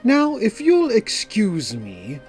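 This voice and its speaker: A man voicing a smooth, practiced, aloof mage with a condescending way of talking in a fantasy story. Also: a little high, sing-song, unhurried, a little mellow. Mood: smooth, evasive. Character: suave, aloof male mage with secrets. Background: background music.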